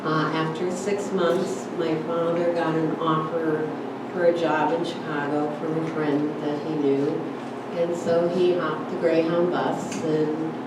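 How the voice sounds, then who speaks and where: A woman's voice: sits low, little pitch movement, unhurried. An older woman born in Germany, telling her family's immigration story at a church forum in a conversational way, with many "you know"s and "uh"s. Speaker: guest speaker at church forum. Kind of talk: personal storytelling talk. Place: church hall. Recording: roomy hall, distant mic, background noise.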